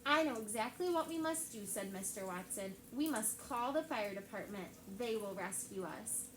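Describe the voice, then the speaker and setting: A woman teacher reads a picture book aloud to her class, with lively character voices. Her voice sits slightly high. The classroom rings with echo, and background noise runs underneath. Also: moderate pace.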